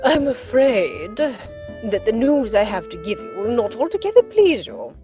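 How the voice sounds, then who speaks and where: A woman's voice: a little high, very animated, a little slow, dark tone, soft background music. A woman playing an imperious, haughty older aristocratic aunt in a stage comedy reading. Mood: grave disdain. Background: musical score.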